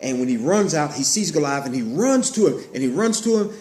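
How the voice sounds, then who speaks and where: A man with a fairly high, very lively voice tells Bible stories to children. He uses simple words, repeats phrases for emphasis and puts questions to his listeners. The room echoes and there is clear noise behind him. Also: brisk. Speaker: storyteller, puppet show host. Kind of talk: animated children's Bible storytelling, asking questions. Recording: roomy echo, clear background noise.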